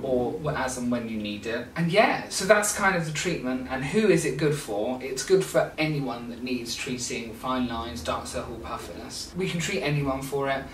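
A man's voice: medium pitch, lively intonation, normal speed, hardly any pauses. A young man talks informally to camera about a facial treatment he offers, dropping in fillers as he goes. His voice sits in a mid register and carries the bounce of a small room. He is set back a little from the mic, with a faint hum of room noise underneath. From England. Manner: chatty, informal, with frequent fillers. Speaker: skincare clinic owner. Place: small clinic room indoors. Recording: roomy, slightly distant camera sound.

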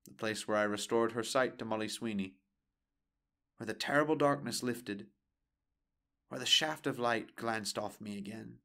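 An actor playing a proud, wistful surgeon in a radio play monologue, rhetorical and repeating phrases for emphasis. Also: male voice, on the low side, moderate pace, pauses often, a bit bright. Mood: subdued, wistful. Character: proud, wistful male eye surgeon, theatrically self-absorbed.

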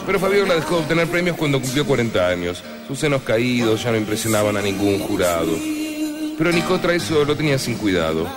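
A man's voice: medium pitch, expressive, fast, natural voice. A man playing the solemn, mock-serious storyteller of a romantic radio serial, reading scripted narration. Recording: music behind the narration.

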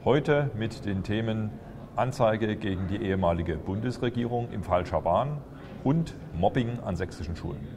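A man in his fifties speaks slightly low and steady, earnest and accusatory as he presents a political statement. His voice carries a long reverb from a large room with big windows. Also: moderate pace. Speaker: politician presenting a party video. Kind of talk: scripted political statement to camera. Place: indoors before a large window.

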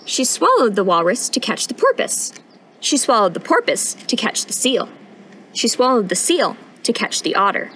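Young female storytime reader, lively pitch swings, sing-song rhythm, outdoor recording with audible ambient noise. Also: normal speed. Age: young adult. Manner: expressive, sing-song rhyming delivery with emphatic punchlines. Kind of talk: read-aloud children's picture book storytime. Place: outdoors on a wooden porch.

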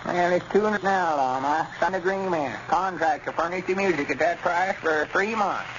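A proud, cranky old country grandpap, put on by a male actor in vintage radio comedy. The voice is pitched high and stubborn, with a folksy rural Arkansas accent. The recording is dull and noisy. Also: moderate pace, close to an everyday voice. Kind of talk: 1930s-40s radio comedy acting.

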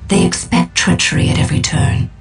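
A woman's voice: low voice, far from the mic, faint music behind, natural voice. A woman playing a solemn, formal alien seer and war leader in a game cutscene. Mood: grave, wary. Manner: slow, measured, formal. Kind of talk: dramatic game cutscene acting.

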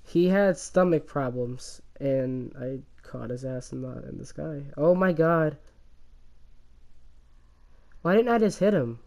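A man with a slight cough and a voice worn down by illness, telling a rambling story over his gameplay, halting and restarting often. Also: a little high, expressive, creaky, darkish tone. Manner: halting, many restarts and fillers, laughs at himself. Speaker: gamer commentating his own gameplay.

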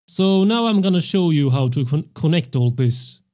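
A young man hosting a music production tutorial, explaining step by step in a measured way into a close studio mic. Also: fairly high pitch, lively intonation, mellow. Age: young adult. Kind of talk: tutorial narration. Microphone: close studio microphone on a stand.

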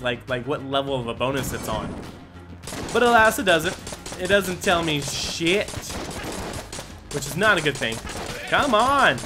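An excitable young man narrating his gameplay in a higher-than-usual voice that swoops all over the place. He rambles with fillers and bursts into whoops, while loud game music and gunfire play behind him. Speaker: gaming channel host. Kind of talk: casual let's play commentary.

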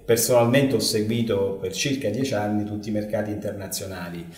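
A middle-aged man, a loudspeaker company owner, answering interview questions with many hesitations in a showroom. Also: on the low side, steady tone, fairly quick, far from the mic. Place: living room showroom with speakers. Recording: roomy, off-mic sound.

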